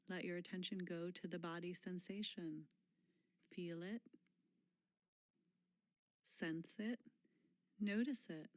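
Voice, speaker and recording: A woman leading a guided mindfulness meditation in a gentle, unhurried manner, pausing often and letting phrase endings fall soft and breathy. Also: on the low side, no room sound.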